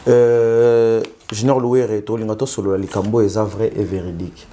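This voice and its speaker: Low mellow-voiced host. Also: male voice, flat delivery. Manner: halting, mixes French and Lingala. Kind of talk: interview hosting.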